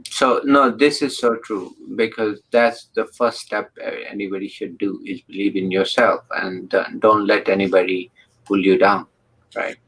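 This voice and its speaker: A man hosting an interview, speaking slowly and haltingly with many fillers. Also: on the low side, fairly even intonation, fairly bright tone, slightly rough, rough recording. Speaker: interview host. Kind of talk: casual interview talk.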